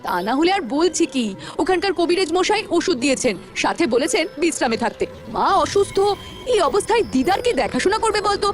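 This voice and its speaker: An anxious cartoon village boy, played by a woman, high and fast-talking. The delivery is earnest and cautious, with lively swings over faint music. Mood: worried. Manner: earnest, explanatory. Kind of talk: Bengali village cartoon dialogue.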